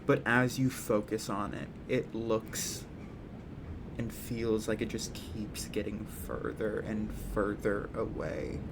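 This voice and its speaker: A man narrating as game master of a tabletop game, describing scenes with thoughtful pauses. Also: lowish voice, creaky, noisy background. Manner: slow, thoughtful, pauses with ums while describing.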